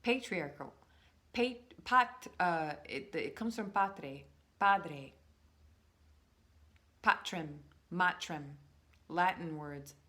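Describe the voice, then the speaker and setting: A woman with a low, deep-set voice muses aloud to her viewers in an easygoing vlog. She rambles, restarts her sentences and draws certain words out slowly for weight. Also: pushed and bright. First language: English. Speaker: vlogger. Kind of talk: casual musing monologue to viewers.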